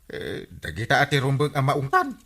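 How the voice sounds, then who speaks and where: A man with an Ilocano accent playing a lazy, excitable village captain in a radio comedy drama. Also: medium pitch, fairly quick, breathy edge, heavily acted. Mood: grumbling.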